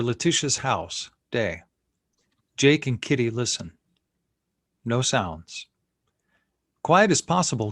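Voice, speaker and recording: A man's voice: mid-range voice, no echo. Close, dry male script narrator. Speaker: narrator reading stage directions.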